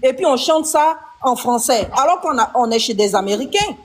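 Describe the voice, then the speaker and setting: A woman with a high voice and an Ivorian accent takes part in an informal debate. She cuts in with repeated, pointed questions, and the recording carries noise and clicks. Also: lively intonation, normal speed. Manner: questioning, repetitive, interjecting. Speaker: panel debater.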